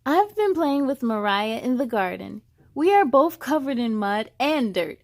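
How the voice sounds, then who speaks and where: A woman reading as an earnest young girl in a children's storybook, slowly and expressively. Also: a little high, big pitch swings, darkish tone, no room sound. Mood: earnest, tender. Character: young girl narrator learning to manage anger. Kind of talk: children's read-aloud in first person.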